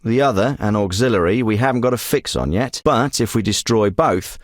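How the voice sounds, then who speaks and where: An actor voicing a tough, curt special forces handler in a military video game, giving orders in a clipped, commanding way that turns profane under pressure. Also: male voice, fairly low pitch, lively intonation, brisk, dry recording, clean studio sound, natural voice. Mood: briefing. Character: tough covert ops handler, middle-aged man, curt. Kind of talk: game voice acting.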